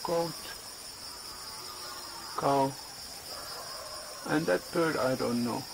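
A man in his forties vlogging casually outdoors on a farm, speaking in halting English and searching for words, recorded on a handheld phone. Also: medium pitch, vocal fry, noisy background. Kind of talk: casual vlog narration. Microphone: phone camera at arm's length. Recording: outdoor ambience with insects and animals.

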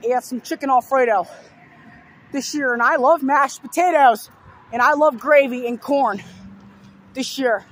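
Slow, hoarse young man rambling outdoors. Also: extremely high pitch, a bit bright. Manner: rambling, repeats simple lists. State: sore throat, hoarse. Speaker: vlogger. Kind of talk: casual walking vlog. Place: outdoors on a fenced overpass beside a busy road. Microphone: phone held low at arm's length. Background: faint passing traffic.

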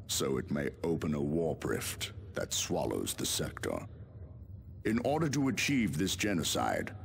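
Deep, gravelly, commanding captain. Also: male voice, slight background noise, heavily acted. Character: grave, commanding male space marine chapter captain. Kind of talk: dramatic video game monologue.

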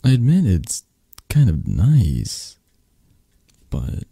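Guy doing a possessive mafia boss in asmr roleplay, soft breathy gravelly murmur, really close and dry, flirty and comforting. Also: deep voice, no room sound, heavily acted. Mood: affectionate, bashful.

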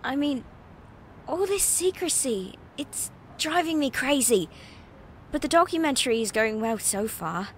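A woman's voice: high voice, animated, measured pace, fairly bright tone, a little hiss or hum, exaggerated voice. An actress playing an eager, nervous young female reporter in an audio drama, chatty and hesitant. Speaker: voice actor.

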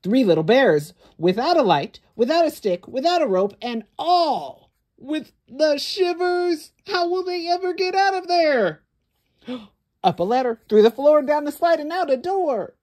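A woman's voice: high-pitched, expressive, measured pace, darkish tone. A woman hosting a children's story time, reading aloud in a dramatic, suspenseful way with whispers and gasps.

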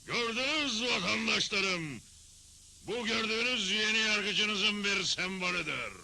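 A man's voice: sits high, a little slow, vocal fry, pushed and bright, heavily acted. A man voicing a sly, pompous crooked villain who poses as a judge in a western cartoon dub, speaking in a mock-official manner.

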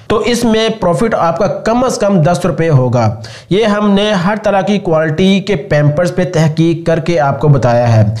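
A man with a Pakistani accent narrates an explainer as its host. His voice is high for a man. He speaks straight to the viewer in an instructive, scripted voice-over style. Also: a little mellow. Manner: addresses viewers directly, instructive. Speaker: video host.

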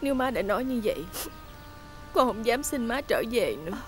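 An actress playing a devoted, emotional grown daughter who pleads tearfully. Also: female voice, a little high, lively intonation, a little fast, a little hiss or hum, close to an everyday voice. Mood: pleading. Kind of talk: stage drama acting. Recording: music behind the voice.

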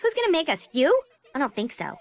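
A woman voicing a spunky, bossy, excitable teenage bandicoot girl who invents gadgets, in a game cutscene. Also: high voice, a little fast, slight background noise, exaggerated voice. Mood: irritated. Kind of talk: animated game cutscene acting. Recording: music behind the voice.

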